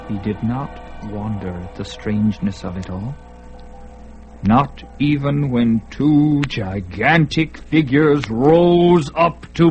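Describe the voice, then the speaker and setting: Slow, dramatic male radio narrator. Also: fairly high pitch, low-quality recording. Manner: dramatic, measured, rising with the action.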